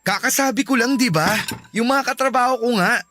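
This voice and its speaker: A man's voice: very high voice, a little fast, sharp tone, slight background noise. A man playing a glib, dismissive cheating boyfriend in a radio drama, with a defensive manner. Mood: irritated. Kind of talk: Tagalog radio drama acting. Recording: music behind the voice.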